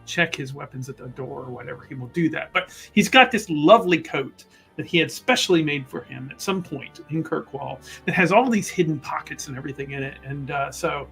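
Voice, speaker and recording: A man with a slightly high voice casually describes his character in a tabletop game. He rambles and hesitates as he makes it up, with faint music behind him. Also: a little fast, gravelly, a bit bright, rough recording. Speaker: player in a tabletop roleplaying game.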